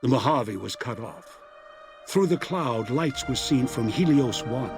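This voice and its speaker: An actor narrating a video game epilogue as a grave, measured storyteller of a ruined wasteland. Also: male voice, mid-range voice, expressive. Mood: somber, ominous. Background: music.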